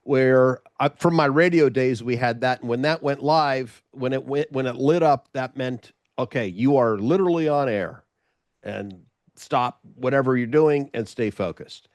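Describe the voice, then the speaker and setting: A man's voice: medium pitch, unhurried, a little mellow, dry recording. A middle-aged man from Los Angeles, a former radio host, chatting over a video call in a rambling way, often restarting his sentences. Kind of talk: casual interview chat.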